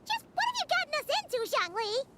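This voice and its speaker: Tiny, extremely high squeaky fairy. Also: female voice, huge pitch swings, quick pace, bright, forceful tone, exaggerated voice. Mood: startled, puzzled. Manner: squeaky, exclaiming, refers to self by name. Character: tiny squeaky childlike fairy companion, excitable and easily startled. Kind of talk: video game voice acting.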